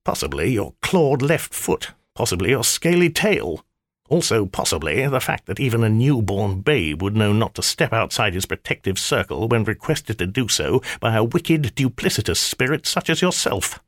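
A crotchety old sorcerer, played by a man, low-voiced and sharp-tongued. He scolds in clipped, dryly sarcastic phrases with a lively lift, recorded close and dry. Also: normal speed, dry recording. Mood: scolding. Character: irritable old male magician, stern and sardonic. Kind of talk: audiobook character voice.

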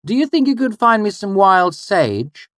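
A man's voice: sits high, very animated, measured pace, fairly bright tone, no echo, studio quality, voice pushed up, full character voice. A man voicing a firm, sensible mother in a troupe of traveling actors, speaking in a raised voice. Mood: coaxing.

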